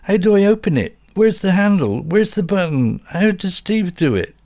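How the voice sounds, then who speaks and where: Bobby the dog: very high, loud, frantic little female pup, as a male reader puts her on. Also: sing-song, moderate pace, no room sound, studio quality. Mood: curious. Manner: frantic yapping, barks aloud. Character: excitable young female pet dog.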